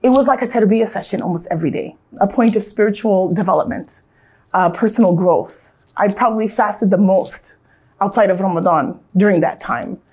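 A woman's voice: medium pitch, moderate pace, darkish tone, slightly rough. An American woman from Southern California, in her thirties, reflecting earnestly on her life in a casual, storytelling way, with frequent restarts.

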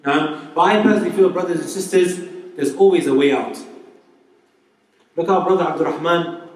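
A man's voice: on the high side, brisk, darkish tone. A man preacher giving an informal Islamic talk to a live audience, chatty and full of rhetorical questions. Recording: very echoey hall, voice from far off.

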